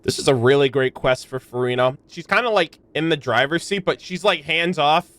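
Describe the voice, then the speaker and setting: A man's voice: a little high, fairly quick, fairly bright tone, no room sound. A man streaming a game, reading its text aloud and reacting in casual slang. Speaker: streamer, host.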